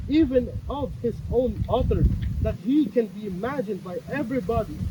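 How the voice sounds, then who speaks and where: A man playing an anguished, philosophizing character in a dramatic play reading, declaiming deliberately with dramatic pauses. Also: high-pitched, background noise. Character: anguished, philosophizing male character pleading with a gentleman.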